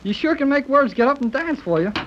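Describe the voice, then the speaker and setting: A woman's voice: high-pitched, expressive, a little fast. A woman playing an eager, chatty teenage boy in a 1930s radio serial, full of breezy, exclamatory slang. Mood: chatty, cheerful. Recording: dull 1930s radio recording.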